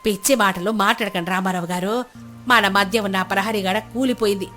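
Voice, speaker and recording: A woman's voice: medium pitch, fairly even intonation, fairly quick, fairly bright tone, natural voice. A woman voicing a lonely, kind-hearted elderly village neighbour in a moral story, with a homely, reassuring way of talking. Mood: caring, insistent. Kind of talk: moral storybook character dialogue. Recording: music bed under the voice.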